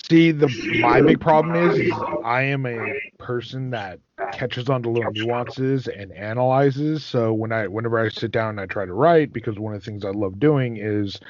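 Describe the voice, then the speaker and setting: A man hosting a casual podcast chat in an animated, analytical way. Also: medium pitch, normal speed. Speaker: podcast host. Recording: dull, lo-fi close recording.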